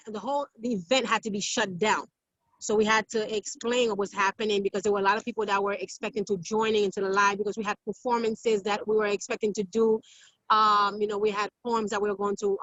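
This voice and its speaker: A woman's voice: medium pitch, fairly bright tone, no echo. A woman born in Haiti, interviewed as an activist, telling her story emphatically with many fillers and restarts over a video call. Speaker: guest activist. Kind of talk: interview recounting events. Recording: dull lo-fi dry sound.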